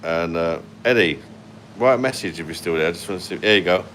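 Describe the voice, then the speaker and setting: Deep-voiced London man chatting on a phone. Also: quick pace, a bit bright, a little hiss or hum. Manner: blunt and sweary, laughs often. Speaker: livestream host. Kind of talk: casual live chat reading comments. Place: hotel room at night, indoors. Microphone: phone held at arm's length.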